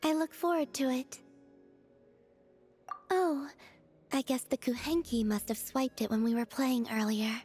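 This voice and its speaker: Serene, playful young goddess, video game. High, airy, formal and unhurried. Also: female voice, steady tone, a little mellow, soft background music. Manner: unhurried, dreamy, formal phrasing. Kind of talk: scripted video game dialogue.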